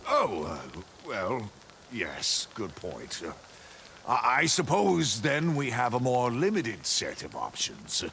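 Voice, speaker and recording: Chivalrous game knight, florid and theatrical, good-natured bumbler, extremely varied intonation, creaky edge. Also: male voice, medium pitch, huge pitch swings, slow pace, bright, forceful tone, slight background noise, heavily acted. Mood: flustered, hesitant. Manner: grandiose, florid, flustered hesitations. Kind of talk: theatrical video game character acting.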